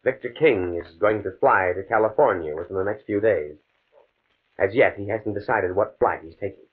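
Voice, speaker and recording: A man's voice: fairly low pitch, normal speed, breathy edge. A man playing a determined, fatalistic professor in a 1950s radio drama, speaking in a grave, precise way. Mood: grimly determined. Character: desperate, determined male professor, coolly fatalistic scientist. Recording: muffled, band-limited old radio recording.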